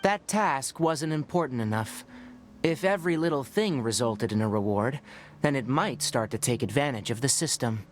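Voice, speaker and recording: A man voicing a patient, knowledgeable young ranger in a video game, calm and explanatory with a mildly teasing edge. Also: mid-range voice, moderate pace, lightly acted. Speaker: forest ranger and guide. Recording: game audio with faint music behind. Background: faint game music.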